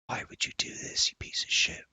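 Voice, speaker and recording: A man's voice: a little fast, bright, forceful tone, whispered. A man streaming a puzzle game live, thinking aloud, swearing and muttering to himself as he tries passwords. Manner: swears and mutters while working through a puzzle. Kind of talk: live gameplay commentary. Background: faint game music.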